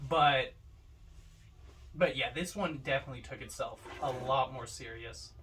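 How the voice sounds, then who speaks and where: A man's voice: mid-range voice, moderate pace, background noise. A man giving his opinions as co-host of a casual film discussion, picked up from a little distance. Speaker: podcast co-host. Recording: distant mic.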